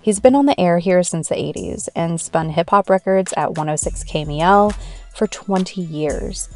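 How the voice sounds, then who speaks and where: A woman's voice: fairly low pitch, normal speed, a little mellow, soft background music. An American woman from the San Francisco Bay Area speaking as the host of a radio podcast. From San Francisco Bay Area, United States. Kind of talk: scripted narration and interview.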